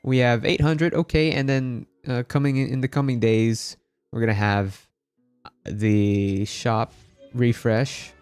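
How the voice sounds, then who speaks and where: A man's voice: mid-range voice, steady tone, slow pace, darkish tone, smooth, no room sound. Casual male game streamer, music behind him. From Philippines. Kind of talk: casual livestream chat.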